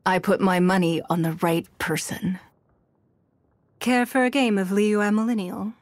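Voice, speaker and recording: Slow-spoken, poised, shrewd noblewoman played by an actress. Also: medium pitch, fairly even intonation, no echo, close to an everyday voice. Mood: cool, measured. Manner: composed, refined, deliberate, coolly authoritative. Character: poised, shrewd, wealthy woman leader, elegant and calculating. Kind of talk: video game character voice lines.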